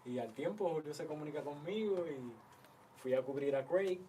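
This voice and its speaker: A man's voice: fairly high pitch, quick pace, far from the mic, background noise. A man from Puerto Rico, a band musician, chatting casually in an interview and laughing easily as he switches into Spanish.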